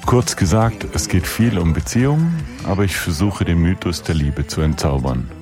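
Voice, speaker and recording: A man reading a German voice-over translation of an interview in a calm, even manner. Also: low-pitched, normal speed. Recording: voice-over with clear music underneath. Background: music.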